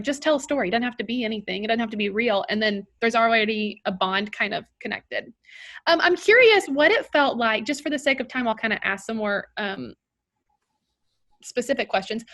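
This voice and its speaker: A woman's voice: a little high, fairly bright tone. A woman drama therapist, leading a group discussion and inviting each person to share. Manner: encouraging, asks follow-up questions.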